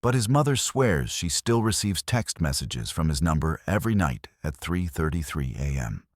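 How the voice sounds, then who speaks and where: A man tells scripted horror stories with an extremely low, rumbling voice. His delivery is measured and ominous, and the recording is dry, with no room sound. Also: sing-song, no echo. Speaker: narrator.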